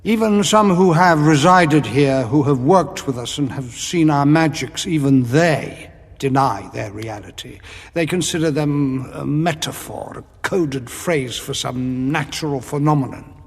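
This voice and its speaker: An actor playing a haughty alien zealot who serves ancient dark powers, speaking formally and solemnly in an audio drama. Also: male voice, on the high side, unhurried, big-room sound. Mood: solemn, portentous.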